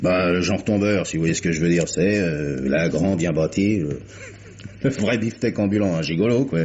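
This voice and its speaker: An actor playing a chatty, slangy hotel night clerk, folksy and hesitant, in old radio mystery style. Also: male voice, low-pitched, normal speed, a little mellow, close to an everyday voice. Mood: gossipy. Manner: folksy, hesitant, eager gossip. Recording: muffled, hissy vintage radio recording.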